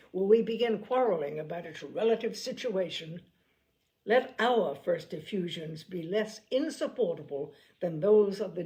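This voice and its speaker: A woman's voice: medium pitch, mellow, lightly acted, low-quality recording. A woman reading aloud, voicing a young woman who bursts out in eager, rapturous exclamations. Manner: gushing, exclamatory.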